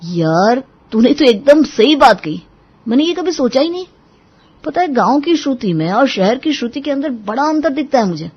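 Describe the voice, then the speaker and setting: A woman's voice: sits high, very animated, normal speed, slightly rough, highs cut off, pitched above the performer's own voice, heavily acted. A woman voicing a mild, henpecked young husband who talks in a friendly, placating way in an audio story. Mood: relieved, confiding.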